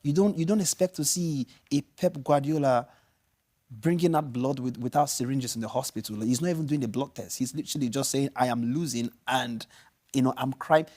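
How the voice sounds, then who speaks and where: Nigerian guy, igbo native, chatting footy on a show, rambles, lots of "you know", mid pitch. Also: a little fast. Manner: rambling, animated, many restarts and "you know". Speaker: show co-host, pundit. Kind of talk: casual studio chat about football.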